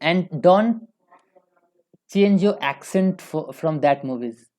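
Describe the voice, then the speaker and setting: Female online tutor, young, Indian accent, low mellow voice, informal and emphatic lecturing style. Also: expressive, takes it slowly. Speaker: online English teacher.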